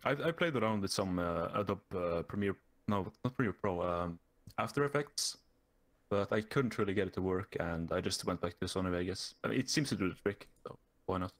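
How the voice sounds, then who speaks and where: A man's voice: low voice, expressive, brisk. A young man from Norway with a Norwegian accent, a guest chatting casually in an interview, hesitant with lots of fillers and restarts. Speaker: guest YouTuber.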